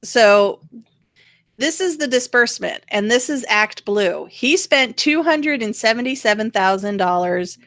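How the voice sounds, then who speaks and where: A woman's voice: fairly high pitch, lively intonation, measured pace, a bit bright, no room sound, studio quality. A woman host chatting casually about politics in a blunt, sarcastic, mildly profane way. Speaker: talk show host.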